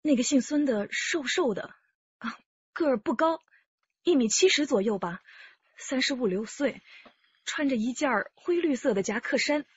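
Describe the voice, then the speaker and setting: 一位女演员在广播剧中扮演精明的年轻上海妻子，也是劫案受害者，声音带气声。. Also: female voice, high-pitched, expressive, moderate pace, long pauses, slightly rough, no room sound, highs cut off, exaggerated voice. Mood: shaken. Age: young adult. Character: shrewd young Shanghai wife, robbery victim. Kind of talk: radio drama acting.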